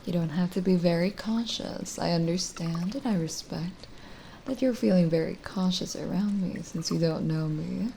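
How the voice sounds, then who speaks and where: A woman playing a lonely, kindly witch in an ASMR roleplay, speaking gently and coaxingly with hesitant pauses and drifts into whispering. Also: fairly low pitch, vocal fry, a little hiss or hum. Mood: reassuring. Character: lonely, kindly forest witch, soothing and hospitable. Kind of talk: ASMR roleplay audio drama.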